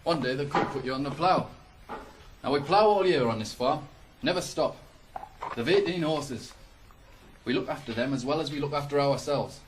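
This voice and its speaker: A man's voice: a little high, moderate pace, close to an everyday voice. An actor in a 1980s radio drama playing a bossy, ambitious ploughman who instructs a young lad in a brusque, teasing way. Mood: proud, instructive. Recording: noisy 1980s radio broadcast recording.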